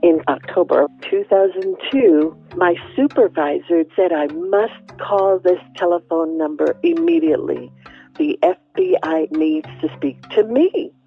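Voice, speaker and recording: An older woman recalling events in an interview, telling them as an animated storyteller who acts out dialogue. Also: on the low side, measured pace, vocal fry, slightly rough, soft background music. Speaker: retired bus driver, interviewee. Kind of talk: first-person recollection in an interview. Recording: dull, band-limited interview audio.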